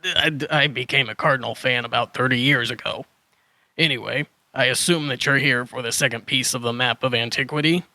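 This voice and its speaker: A man's voice: mid-range voice, brisk, sharp tone, no echo. A man playing a crazy old hermit dinosaur trader in a children's audio drama, flustered and tangling his sentences. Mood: quirky, chatty. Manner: muddles and swaps word order, then corrects himself.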